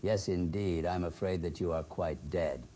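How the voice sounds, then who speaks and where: Man acting a mellow, courteous old spirit gentleman. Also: sits low, fairly even intonation, a little hiss or hum, natural voice. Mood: cryptic, grave. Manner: formal, theatrical, unhurried. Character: older gentleman spirit, cryptic, courteous afterlife gamekeeper. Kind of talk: horror audio drama acting.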